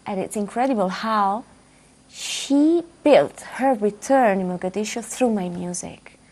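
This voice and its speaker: Gravelly-voiced woman in a studio interview. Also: mid-range voice, measured pace, a little mellow. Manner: thoughtful, repeats words while searching for phrasing. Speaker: musician guest. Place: dark television studio, seated.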